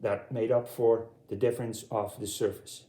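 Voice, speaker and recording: Middle aged guy, soft dark voice, chatting through his gear kinda haltingly, repeats himself, echoey room. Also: medium pitch, flat delivery. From Europe. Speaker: audio hobbyist presenting his speaker collection. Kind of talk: casual unscripted product walkthrough.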